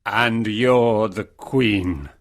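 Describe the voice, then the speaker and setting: A woman voicing a big, ugly but kind male beast, slow and deep, in a storybook reading. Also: heavily acted. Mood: pleading. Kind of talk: graded reader storybook narration.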